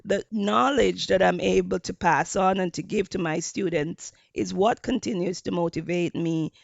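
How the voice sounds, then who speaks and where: A woman from Jamaica with a Jamaican accent, a hospitality professor giving measured interview answers with frequent fillers. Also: on the low side, vocal fry, no room sound, dull audio.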